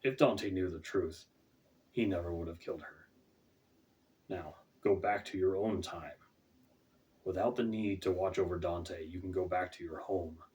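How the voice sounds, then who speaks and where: A man voicing solemn Death, low and distant. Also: steady tone, measured pace, pauses often, a little mellow. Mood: stern, commanding. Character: solemn, commanding Death, robed male master of shadows. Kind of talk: storybook character voice. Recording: distant, off-mic home recording.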